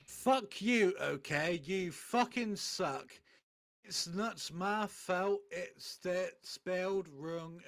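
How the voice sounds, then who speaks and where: A man reading fan fiction aloud on a stream in a comic dramatic style, swearing and halting over misspelt words. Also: fairly high pitch.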